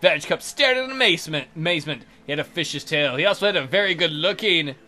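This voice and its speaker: A man's voice: a little high, expressive, fast, sharp tone, faint music behind. A man hosting a let's play, reading out a visual novel and mocking it with crude joking commentary.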